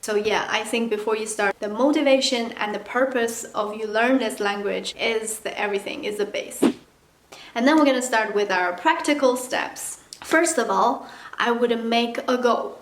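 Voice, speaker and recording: A woman's voice: on the high side, moderate pace, slight background noise. A woman from China with a Chinese accent, native speaker of Mandarin, chatting candidly to camera as a language-learning vlogger. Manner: chatty and candid, acts out playful asides. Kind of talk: casual talk to camera. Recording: echoey room.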